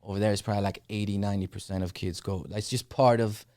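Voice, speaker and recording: A young man from the Netherlands speaks in a fairly low, unhurried voice as a guest on a podcast. His talk is loose and casual, full of "you know what I'm saying." The mic is close and dry. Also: fairly even intonation, dark tone, no room sound. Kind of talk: casual interview conversation.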